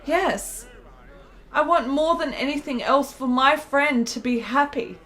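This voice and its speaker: A woman acts a lonely, ailing lady in a period radio drama. Her voice is very high. She speaks in formal, earnest phrases, with an intense and devoted feeling under the frailty. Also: unhurried, a bit bright. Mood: earnest, grateful. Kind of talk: period radio play acting.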